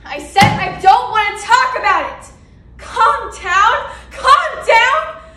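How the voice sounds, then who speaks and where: A woman acting a dramatic monologue as a grief-stricken young nurse who blames herself, swinging between flat withdrawal and outbursts. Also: extremely high pitch, slow, exaggerated voice. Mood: angry. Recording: echoey room from a distance.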